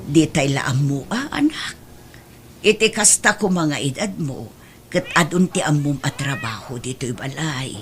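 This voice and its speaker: A Filipino woman playing a meek, long-suffering older mother in a radio drama, speaking plaintively and gently. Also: deep voice, lively intonation, a little slow, slight background noise. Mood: worried, pleading.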